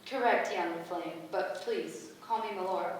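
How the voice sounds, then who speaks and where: A woman playing a calm, motherly, goddess-like being with a stately, formal way of speaking, in a staged play reading. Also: fairly low pitch, moderate pace, close to an everyday voice. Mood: tender, stately. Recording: echoey room, distant mic, background noise.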